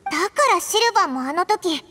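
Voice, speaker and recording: A tiny floating fairy speaks in an extremely high, childlike voice. She is blunt and chatty in anime game style, with faint noise behind her. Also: expressive, a little fast, pushed and bright, full character voice. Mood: surprised, musing.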